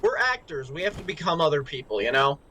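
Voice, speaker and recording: A man with a fairly high-pitched voice talks casually during a group story reading. He banters informally as one of the amateur voice actors. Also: expressive, fast talker, sharp tone, a little hiss or hum.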